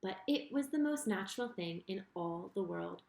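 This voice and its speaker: A woman reading a children's story in an expressive, deliberate way, speaking directly to her young listeners. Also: mid-range voice, gravelly. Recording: roomy, echoing sound.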